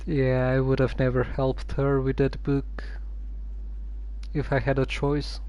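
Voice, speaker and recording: A man's voice: mid-range voice, completely flat delivery, takes it slowly, darkish tone, poor audio. A man streaming a game, making dry, laconic asides as casual commentary. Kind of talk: casual commentary over gameplay.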